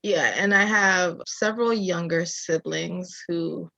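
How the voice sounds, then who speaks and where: A woman in her thirties, from Jamaica by way of Massachusetts, talks through her life in an unhurried, reflective way and laughs often. Her voice sits a little low and comes across dry and slightly muffled, as on a lo-fi recording. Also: creaky, sharp tone, no echo. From Jamaica, raised in Massachusetts. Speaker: architecture designer, guest. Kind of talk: casual interview storytelling.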